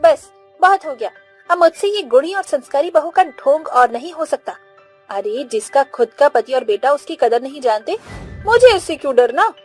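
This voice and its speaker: A woman's voice: high voice, sing-song, moderate pace, faint music behind. A woman voicing an insolent, scheming young daughter-in-law in a Hindi cartoon story, brazen and scornful. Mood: fed up, scornful.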